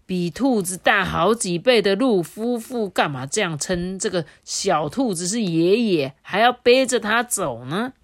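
A woman voicing a big male tiger who blusters loudly and then grows puzzled. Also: medium pitch, lively intonation, measured pace, hardly any pauses, no echo, clean studio sound. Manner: loud, blustering.

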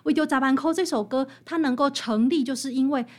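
High-voiced Taiwanese woman explaining. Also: a little fast, a crowd in the background. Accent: Taiwanese Mandarin. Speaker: guest author interviewed about her book. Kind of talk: conversational explanatory interview.

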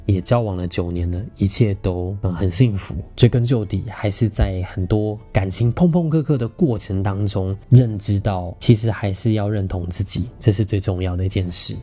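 Male podcast host, Taiwanese Mandarin accent, soft dark tone, conversational personal storytelling over faint music. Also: on the low side, moderate pace, hardly any pauses. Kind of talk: personal storytelling and relationship advice to listeners.

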